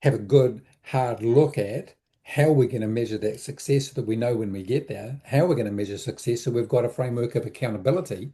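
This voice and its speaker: A man answering interview questions as an advisor, reflective and halting, often repeating words as he thinks aloud. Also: mid-range voice, mellow. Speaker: interview guest, business advisor. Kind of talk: conversational explanation in an interview.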